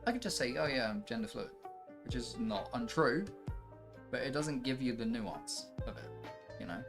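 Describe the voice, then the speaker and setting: A man's voice: mid-range voice, normal speed. Emphatic, sweary man in discussion. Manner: emphatic, sweary, animated when arguing. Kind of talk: casual opinionated discussion. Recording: music playing behind the voice. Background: music.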